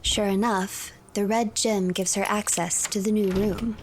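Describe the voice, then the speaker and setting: A woman narrating a game's story in a measured, scripted storytelling manner. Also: medium pitch, fairly even intonation, a little hiss or hum. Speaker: streamer narrator. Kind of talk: scripted storybook-like narration of a game, third person.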